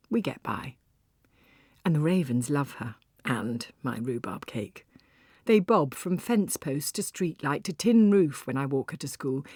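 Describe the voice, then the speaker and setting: Audiobook lead: a wry, weary ex-police cafe owner, low-pitched and breathy, conversational first-person storytelling in a dry, close recording. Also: female voice, no room sound, full character voice. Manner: expressive, conversational, storytelling with hushed asides. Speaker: audiobook narrator.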